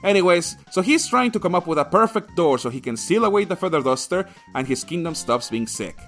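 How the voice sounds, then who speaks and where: A man's voice: high voice, expressive, brisk, fairly bright tone, soft background music. A man narrating a scripted comedic video game review in a crude, sarcastic, sweary manner.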